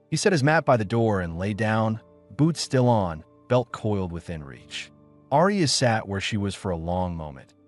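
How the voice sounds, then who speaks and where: A man with a fairly deep voice narrates an audiobook story in a dramatic, scripted style. His pitch moves in a lively way from phrase to phrase. Faint music plays behind him.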